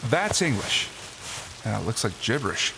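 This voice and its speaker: Man playing a lively, incredulous young adventurer. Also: fairly low pitch, a little slow, creaky, big-room sound, background noise, exaggerated voice. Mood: puzzled. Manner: quippy, incredulous. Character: wary, incredulous young male adventurer, quippy and protective. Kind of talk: audio drama acting.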